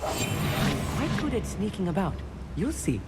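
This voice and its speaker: A man's voice: high voice, big pitch swings, a little slow, loud music behind the voice, full character voice. An actor playing a bookish, eager young male student wizard in a video game, earnest and well-spoken with a sense of wonder. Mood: awed, eager. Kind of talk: video game companion acting.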